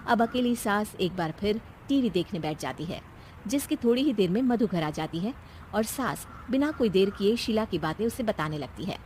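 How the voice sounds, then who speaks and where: A female narrator with a mid-pitched voice tells a scripted story for children. Noticeable noise runs behind her voice. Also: animated, normal speed, darkish tone.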